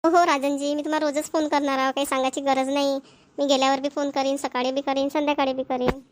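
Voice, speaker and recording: A woman's voice: high voice, flat delivery, fast talker, natural voice. A woman voicing a caring, dutiful young newlywed wife in a cartoon family comedy, with a Varhadi Marathi accent from Vidarbha. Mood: affectionate.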